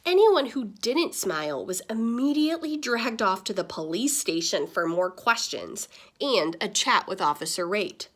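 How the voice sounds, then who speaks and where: A woman reading a children's story aloud in the style of a teacher, with dramatic pauses and stressed words. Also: medium pitch, very animated, fairly bright tone.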